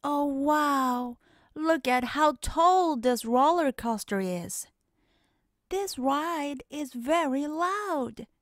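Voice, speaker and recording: A woman voicing an excitable, competitive young fox in a children's storybook, with animated, exclamatory delivery. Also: high-pitched, extremely slow, no room sound, clean studio sound, voice pushed up, exaggerated voice. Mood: excited.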